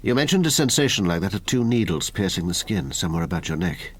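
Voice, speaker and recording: An actor playing a grave, weary but kindly country doctor in a period audio drama, measured and formal. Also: male voice, low voice, very animated, slightly rough, full character voice. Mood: clinical, concerned.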